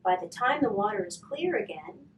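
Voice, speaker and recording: Woman reading as a patient old grandfather, lively intonation. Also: mid-range voice, moderate pace, close to an everyday voice. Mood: coaxing. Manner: gentle, coaxing, sympathetic. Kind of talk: children's storybook character voice. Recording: roomy, distant mic, faint noise.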